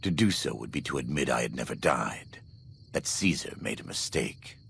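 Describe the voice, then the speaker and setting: An actor playing a devout, vengeful former warlord in a video game, speaking in a solemn, measured, preacherly way with a gravelly voice. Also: male voice, a bass voice, expressive, a bit bright, heavily acted. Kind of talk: video game dramatic dialogue.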